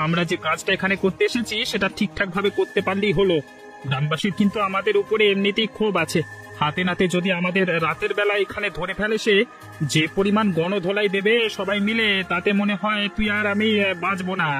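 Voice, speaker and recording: A man's voice: high-pitched, steady tone, fast, bright, forceful tone, faint music behind. A man playing a bossy, jealous village troublemaker in cartoon dialogue. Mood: scheming, bossy.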